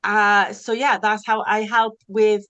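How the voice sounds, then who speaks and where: A woman's voice: mid-range voice, little pitch movement, slow, a bit bright, clean tone, muffled recording. A middle-aged woman from South Wales with a Welsh accent, a recovery coach chatting as a podcast guest who often repeats words as she starts a thought. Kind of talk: casual interview chat.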